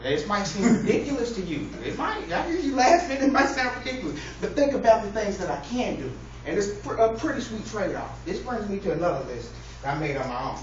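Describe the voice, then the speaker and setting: A man's voice: high voice, sing-song, a little fast, hardly any pauses, a crowd in the background. Man, public speaker, joking preacher-like delivery, heavy room echo, muffled recording. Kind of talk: humorous persuasive speech to a live audience. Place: echoey indoor room with an audience. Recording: distant, very echoey, noisy, muffled room recording.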